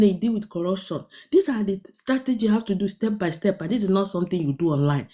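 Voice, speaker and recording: A woman with a mellow, dark-toned voice at a middle pitch, speaking with urgent passion and pleading with her listeners. The audio is dull and lo-fi, like a livestream call. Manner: impassioned, pleading. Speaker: guest in a live discussion. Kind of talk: impassioned livestream remarks.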